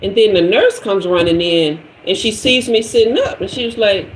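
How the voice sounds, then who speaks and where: A woman tells a story to her live audience and acts out every voice in it with lively energy. Her voice sits in a middle range and carries the echo of a roomy space. A faint background hiss runs under the recording. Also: mid-range voice, normal speed. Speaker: tarot reader and host.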